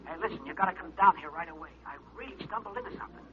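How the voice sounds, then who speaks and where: A man's voice: a little high, rapid-fire, lo-fi sound. A man acting an agitated, urgent gym worker heard through a telephone filter in old radio drama. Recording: thin, noisy phone on vintage radio.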